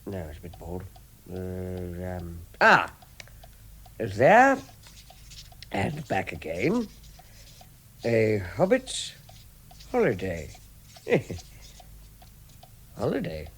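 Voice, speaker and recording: A fussy, excitable English hobbit. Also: male voice, sits low, very slow, long pauses, breathy edge, full character voice. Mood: musing, flustered. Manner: stammering, chuckling, flustered. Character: fussy, warm, excitable little male hobbit host. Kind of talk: vintage British radio drama acting. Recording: vintage radio recording with music.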